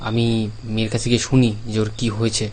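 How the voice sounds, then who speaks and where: A man's voice: lowish voice, monotone, fast talker, noisy background, close to an everyday voice. A man voicing a worried village father in a cartoon story, agitated and pleading, repeating himself. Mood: alarmed. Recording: clear music under the voice.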